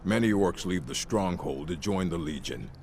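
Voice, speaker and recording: An actor playing a gruff orc warrior in a video game, proud and dutiful, with a deep, gravelly voice and a blunt, formal way of speaking. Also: male voice, animated, moderate pace, darkish tone, a little hiss or hum. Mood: reflective. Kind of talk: video game character dialogue. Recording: game music playing behind.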